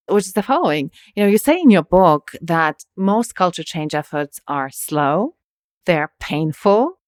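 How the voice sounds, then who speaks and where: A woman's voice: sits low, no echo, studio quality. A woman hosting a podcast, reading a scripted introduction and then asking interview questions in an articulate, enthusiastic way. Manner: enthusiastic, articulate, laughs easily. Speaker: podcast host.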